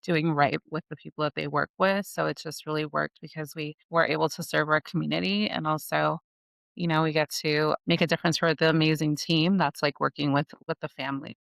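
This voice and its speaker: A woman in her forties from Los Angeles talks as an immigration attorney guest on a podcast. She explains things in an earnest, practical way, full of "you know" and "like." Her voice has a rough, vocal-fry texture over a smooth, resonant tone, recorded close and dry. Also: low voice, flat delivery, brisk, a bit bright, dry recording. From Los Angeles, United States. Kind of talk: casual podcast interview.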